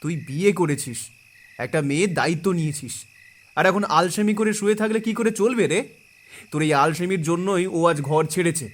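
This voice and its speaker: A man's voice: on the high side, moderate pace, lightly acted. An actor playing a concerned young village man in a cartoon, scolding like a friend. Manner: admonishing. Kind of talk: Bengali cartoon dialogue.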